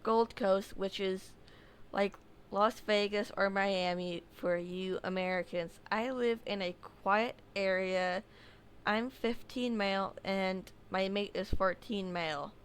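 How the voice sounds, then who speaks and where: A woman reads a listener's scary story aloud, taking her time over every line. Her voice is smooth and clear, and she adds offhand asides and fixes her own slips as she goes. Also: mid-range voice, fairly even intonation. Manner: unhurried reading with small slips and asides. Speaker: horror story narrator. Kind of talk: reading a submitted story aloud.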